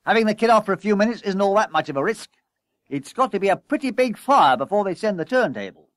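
Male narrator as storybook fire crew leader, frantic, bossy, harrying shouts, raised high voice, dry close sound. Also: big pitch swings, fast, no room sound. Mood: dismissive. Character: frantic bossy male leading fireman barking orders.

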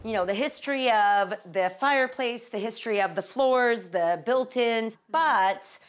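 A woman's voice: a little high, a little slow. A woman co-hosting a renovation show, talking enthusiastically about the features of a house. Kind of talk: casual on-site chat. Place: house interior.

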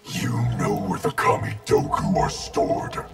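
An actor playing a cold, vengeful undead ninja in a fighting game, with a gravelly, distorted growl and threatening delivery. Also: male voice, measured pace, natural voice. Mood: cold anger. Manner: threatening, declamatory. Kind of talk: fighting game character dialogue. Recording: loud music behind.

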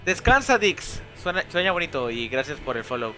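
A man's voice: a little high, very animated, normal speed, sharp tone. A young man with a Mexican accent, casually commenting on a game and chatting with viewers. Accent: Mexican Spanish. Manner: chatty, swears when frustrated, reads game lines aloud. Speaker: streamer. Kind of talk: casual gameplay commentary, reading chat. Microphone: headset. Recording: game music playing behind.

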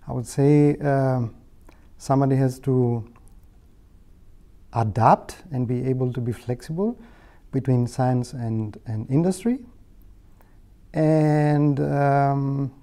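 A man in his thirties with a Greek accent, a native Greek speaker, explaining his job in a hesitant, measured interview style. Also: mid-range voice, mellow. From Greece. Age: 30s. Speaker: technical head of a materials laboratory. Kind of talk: informal career interview monologue. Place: indoor meeting room. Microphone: lapel.

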